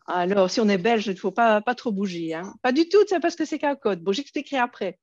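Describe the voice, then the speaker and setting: A woman's voice: medium pitch, animated, brisk, a little mellow, no room sound, lo-fi sound. A woman with a Belgian French accent presenting an online workshop, friendly, with many hesitations and fillers.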